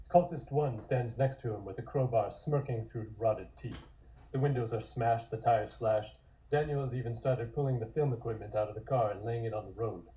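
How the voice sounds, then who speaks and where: A man's voice: mid-range voice, dark tone. A man narrating stage directions from a script at an online table read. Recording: echoey room.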